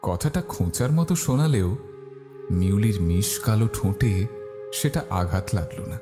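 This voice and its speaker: A man's voice: lowish voice, expressive, normal speed, music in the background. A man narrating a scripted horror story in the first person.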